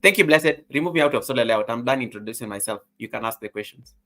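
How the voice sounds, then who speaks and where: A man's voice: mid-range voice, fast, sharp tone, faint music behind. A middle-aged man with a Kenyan accent, introducing himself casually on a livestream with chatty, playful asides. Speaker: guest on a livestream panel, content creator.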